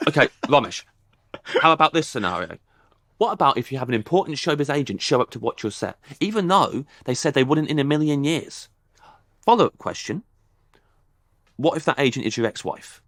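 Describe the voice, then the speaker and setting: Man playing an earnest, animated awkward comic. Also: mid-range voice, brisk, no room sound, studio quality. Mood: eager. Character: earnest, awkward male ex-cop stand-up comic.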